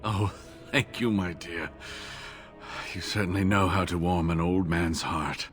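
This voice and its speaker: An actor playing a wounded, devoted elderly scholar in a video game, speaking in a halting, earnest way. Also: male voice, sits low, fairly even intonation, a bit bright, faint music behind. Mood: grateful. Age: older.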